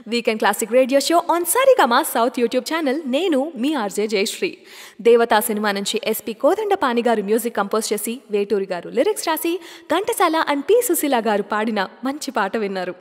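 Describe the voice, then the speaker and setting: A woman hosting a radio show, presenting songs and telling stories about an actor in a chatty, expressive manner. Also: on the high side, normal speed, big-room sound.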